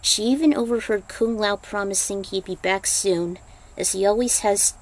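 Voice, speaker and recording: A woman's voice: medium pitch, fairly even intonation, normal speed, rough recording. A woman narrating a fan fiction story as an amateur reader, sometimes stumbling over the text and correcting herself. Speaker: podfic narrator.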